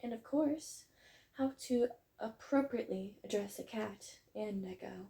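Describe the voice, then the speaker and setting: A woman playing a friendly, wholesome tomboy dog girl who runs a kennel, talking in a gentle, encouraging, chatty way in a roleplay audio. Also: medium pitch, unhurried, vocal fry. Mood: friendly, explaining. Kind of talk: roleplay audio, acted one-sided conversation.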